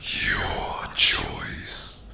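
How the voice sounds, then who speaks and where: A man voicing a menacing, sadistic demon captor in a whispered ASMR dark roleplay, breathy and gravelly, taunting with possessive, mock-tender threats. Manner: slow, drawn-out, taunting, threatening.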